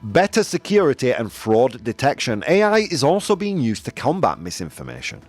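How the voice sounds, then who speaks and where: Man, podcast host, casual opinion talk, lively swooping pitch, rambling with fillers, faint music behind. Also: moderate pace. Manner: animated, rambling, frequent fillers and self-corrections. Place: Spain.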